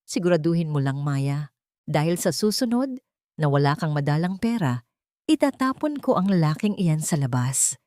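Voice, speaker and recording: A woman's voice: deep voice, big pitch swings, moderate pace, darkish tone, dry recording. A woman storyteller voicing a harsh, contemptuous middle-aged aunt who scolds in a dramatic story. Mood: cold threat.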